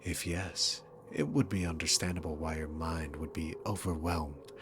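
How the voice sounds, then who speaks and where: A man's voice: rumbling low, steady tone, moderate pace, a bit bright, slight background noise. A man voicing a dominant, sarcastic demon king in an ASMR roleplay, taunting the listener with theatrical, arrogant condescension. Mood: smug, probing.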